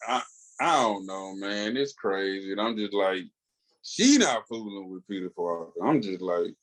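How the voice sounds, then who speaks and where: A man's voice: lowish voice, fairly bright tone, low-quality recording. A man with a Southern US accent chatting casually as a comics podcast co-host, telling stories colloquially and acting out quoted dialogue. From Tennessee, rural southern United States. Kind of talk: casual animated chat.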